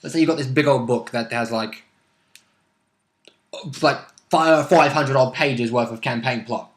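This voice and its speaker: A man's voice: mid-range voice, a little slow. A man chatting casually as a player in a tabletop role-playing game. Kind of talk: casual chat. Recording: echoey, roomy sound.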